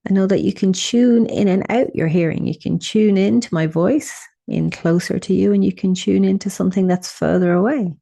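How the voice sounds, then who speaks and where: A woman leading a guided meditation, speaking in a soothing, unhurried way with gentle instructions and pauses. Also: medium pitch, vocal fry.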